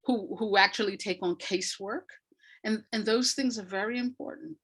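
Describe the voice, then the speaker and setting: A woman speaking as an interview guest, answering conversationally in a reflective, emphatic way with pauses between phrases. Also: mid-range voice, fairly bright tone, breathy edge. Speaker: interview guest, arts practitioner.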